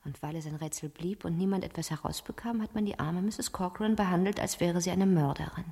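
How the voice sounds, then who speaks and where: A woman's voice: fairly low pitch, fast, gravelly, mellow, breathy edge. An actress playing an inquisitive, outspoken fifteen-year-old girl in a radio crime drama, probing insistently and directly. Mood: indignant, scornful. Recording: 1970s radio production with long reverb.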